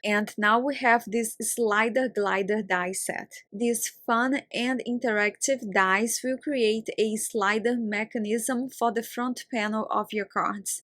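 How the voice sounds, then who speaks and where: A young woman whose first language is Portuguese, reading a calm, careful voice-over for a craft video she hosts. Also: a little high, no echo, clean studio sound. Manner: calm, careful, evenly read narration. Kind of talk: scripted product voice-over.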